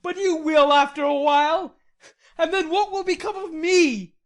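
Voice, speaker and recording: A woman's voice: very high voice, moderate pace, pitched above the performer's own voice. A woman voicing a hot-tempered, heartbroken young man who pleads in vehement outbursts.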